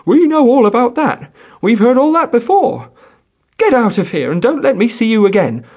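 A man's voice: very high voice, wildly swooping pitch, slow, husky, voice pushed up, full character voice. A man voicing a proud, blunt peasant mother who scolds fiercely and with heavy emphasis. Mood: furious.

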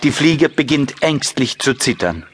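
A man's voice: mid-range voice, very animated, measured pace, a bit bright, a little hiss or hum. A man reading scripted narration for a children's audiobook.

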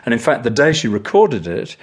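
A man's voice: medium pitch, sing-song, brisk. A middle-aged or older man, reminiscing in anecdotes as a documentary interviewee.

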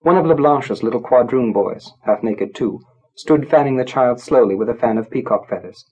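A man narrating a literary story from a script, in a measured, grave, dramatic storytelling manner. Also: medium pitch, a little mellow, slightly rough, poor audio. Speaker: audiobook narrator.

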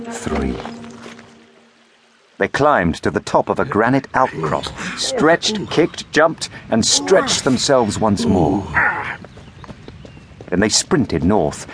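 A man, reading a fairy tale as audiobook narrator in expressive storytelling style. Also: on the low side.

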